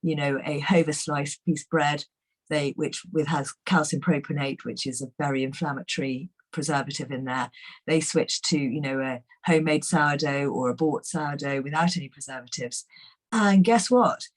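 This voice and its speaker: A middle-aged woman speaks in a low, hoarse, croaky voice. She gives a chatty, enthusiastic webinar talk, dotted with fillers. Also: moderate pace. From England. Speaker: guest nutrition expert.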